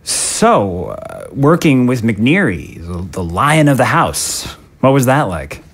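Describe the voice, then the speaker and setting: Man acting a lively-pitched, flustered politician. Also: slow, slightly rough, full character voice. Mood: friendly, apologetic. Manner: hesitant, stammering, apologetic. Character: flustered, polite male politician with new aide. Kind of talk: audio drama dialogue acting.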